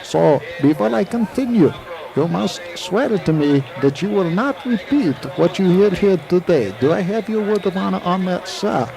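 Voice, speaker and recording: Man playing an eccentric professor, high and very creaky. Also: lively intonation, moderate pace, low-quality recording. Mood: guarded, solemn. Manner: courtly, pedantic, elaborately formal phrasing. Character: formal, secretive, eccentric male physics professor. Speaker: actor in an audio drama. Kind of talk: 1980s audio drama dialogue. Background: racetrack crowd.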